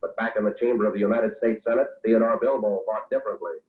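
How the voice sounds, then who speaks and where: An actor playing a grave, ironic narrator of a political exposé, solemn and theatrical in 1940s radio style. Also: male voice, fairly low pitch, steady tone, fast, natural voice. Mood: grave irony. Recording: tinny, narrow old radio recording.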